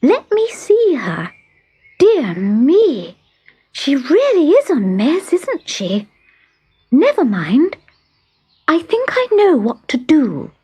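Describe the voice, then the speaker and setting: Storybook fairy girl, played by a woman: small, kindly and earnest, high voice, eager delivery. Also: wildly swooping pitch, slow, long pauses, dark tone, exaggerated voice. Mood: kindly, reassuring. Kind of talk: children's storybook character voice.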